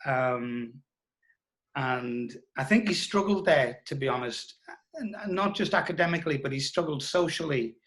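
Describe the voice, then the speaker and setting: A middle-aged man giving a reflective interview, telling anecdotes in a hesitant, measured way. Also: fairly high pitch, lively intonation, fairly bright tone. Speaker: writer interviewed about audio drama scripts.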